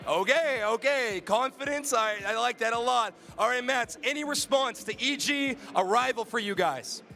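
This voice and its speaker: Stage host, man, very high and bright voice, upbeat crowd hype. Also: moderate pace, vocal fry, faint music behind. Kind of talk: live event hosting.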